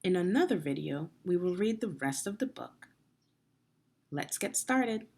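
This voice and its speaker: A woman with a slightly low, husky voice reads a nonfiction book aloud for children. She speaks slowly and deliberately, like a teacher guiding young learners, with lively rises and falls in pitch. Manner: deliberate, clearly phrased, guiding young listeners with questions. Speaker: teacher narrating a children's read-along video.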